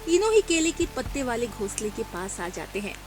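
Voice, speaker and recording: A woman narrating a children's cartoon story in a clear storytelling way. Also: on the high side, sing-song, moderate pace. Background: background music.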